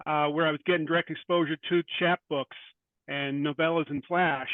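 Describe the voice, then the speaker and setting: A man speaking as a writer guest in an interview, thoughtful and halting, often repeating words as he goes. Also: fairly high pitch, fairly even intonation, dry recording, phone-quality sound. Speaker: guest, short fiction writer. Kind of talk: interview conversation.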